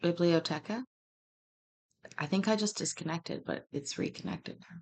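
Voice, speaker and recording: A woman's voice: on the low side, moderate pace, vocal fry, darkish tone. A woman hosting a live stream, making offhand joking asides. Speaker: stream host. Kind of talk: casual live-stream chat.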